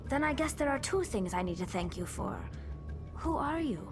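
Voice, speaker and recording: A woman's voice: high voice, very animated, normal speed, music in the background. An actress playing an earnest, guarded young alien woman in a video game. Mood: wary. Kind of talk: video game character acting.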